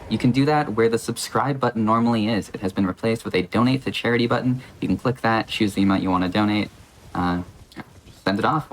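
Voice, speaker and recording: Man, charity stream host, lowish voice, upbeat donation reads, faint noise. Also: low-quality recording. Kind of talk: donation read.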